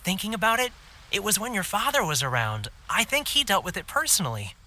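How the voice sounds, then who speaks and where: An actor voicing a cheerful, welcoming middle-aged fortune slip vendor in a game cutscene. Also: male voice, sits high, big pitch swings, fairly quick, pushed and bright, heavily acted.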